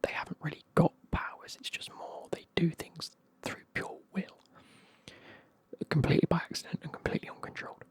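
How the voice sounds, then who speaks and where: A British speaker tells a story in a very breathy whisper, with hardly any voiced tone. The delivery is informal and chatty, like a sweary ASMR recap of a book for a friend. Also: mid-range voice, little pitch movement, fairly quick, no room sound.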